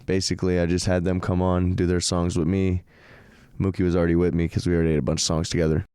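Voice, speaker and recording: A man's voice: sits low, completely flat delivery, quick pace, mellow, clean tone, dry recording, studio quality. A young man, a musician guest, chatting in a laid-back way on a podcast. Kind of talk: casual podcast chat. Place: podcast studio.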